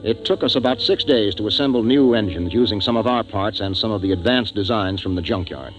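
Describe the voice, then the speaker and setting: A man's voice: lowish voice, brisk, lightly acted. An actor playing a grave, decisive male spaceship captain who narrates his tale in a 1950s radio drama. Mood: grave narration. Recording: dull 1950s radio recording, music behind.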